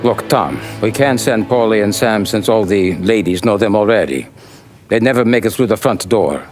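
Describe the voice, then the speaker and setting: An actor as a low-voiced, composed mob adviser. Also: male voice, moderate pace, a little mellow, soft background music, natural voice. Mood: businesslike. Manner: composed, precise, businesslike. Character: measured, shrewd mafia adviser, coolly formal. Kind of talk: cinematic crime game dialogue.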